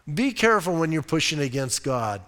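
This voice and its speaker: A male pastor preaching a conversational, emphatic sermon. He asks rhetorical questions and reads scripture slowly and deliberately. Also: on the high side, big pitch swings, fairly bright tone. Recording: reverberant hall sound.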